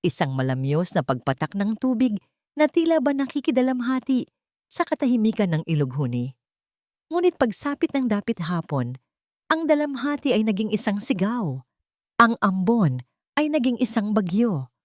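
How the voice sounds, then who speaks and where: A woman's voice: medium pitch, big pitch swings, normal speed, darkish tone, no echo, clean studio sound. A woman with a Filipino accent narrating a dramatic scripted love story.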